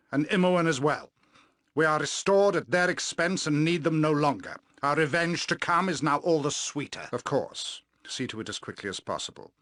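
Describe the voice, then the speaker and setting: A man voicing a cold, vengeful, soulless elven sorcerer villain for a video game, grandiose and menacing, with deliberate, theatrical, formal diction. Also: medium pitch, a bit bright, no echo, natural voice. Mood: cold, commanding. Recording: dry studio recording.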